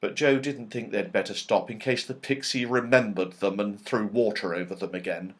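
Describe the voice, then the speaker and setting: A man narrating a children's storybook as a reader, with expressive delivery, dramatic pauses and strong emphasis. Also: fairly low pitch. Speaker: audiobook reader.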